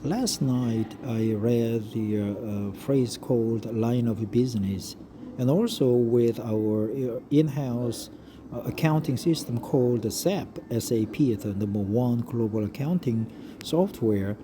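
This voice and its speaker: A middle-aged man with a Korean accent and Korean as his first language reads an article aloud in English to practice, hesitating often with fillers and stopping to repeat phrases he likes. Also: mid-range voice. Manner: hesitant, frequent uh fillers, pauses to savor phrases. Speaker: English learner reading aloud. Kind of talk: self-study reading practice with commentary. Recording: noticeable background noise.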